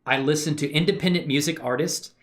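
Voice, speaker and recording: A man's voice: a little high, fast talker. A middle-aged man chatting informally and enthusiastically as he hosts a casual livestream demonstration. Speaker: livestream host and hobby animator.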